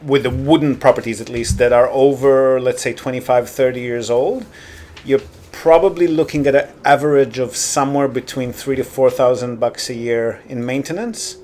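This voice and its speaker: Man, podcast host and property advisor, easygoing explainer, mid pitch, unhurried with filler pauses. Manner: relaxed, explanatory, frequent ums. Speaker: real estate consultant and podcast host. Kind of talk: casual consultation call.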